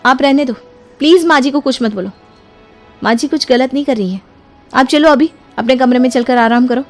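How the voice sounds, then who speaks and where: A woman's voice: sits high, a little fast. A woman voicing a clever young daughter-in-law in a cartoon moral story, sweetly persuasive and emphatic. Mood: firm, calm. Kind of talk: animated Hindi moral story dialogue. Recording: music behind the voice.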